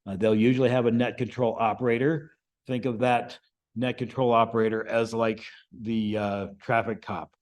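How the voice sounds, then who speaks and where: A man giving an informal, explanatory lesson to a group as the instructor, often checking in with a quick "right?". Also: mid-range voice, level tone, a little mellow. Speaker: amateur radio class instructor.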